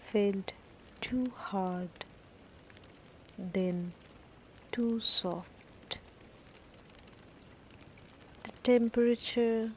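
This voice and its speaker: A woman narrates a scripted sleep meditation at a medium pitch. Her delivery is extremely slow and deliberate, broken into short phrases with long pauses. Soft rain ambience sits faintly behind her voice. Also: slight background noise, rough recording. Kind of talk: guided sleep meditation, first-person scripted story. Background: falling rain.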